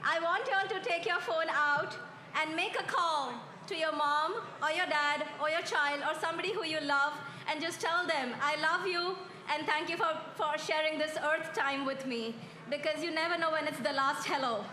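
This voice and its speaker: A young woman from India accepts an award as a film director, speaking earnestly to a crowd. Her voice is high and bright. The sound echoes, with soft music underneath. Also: normal speed. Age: young adult. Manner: earnest, heartfelt. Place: outdoor public stage.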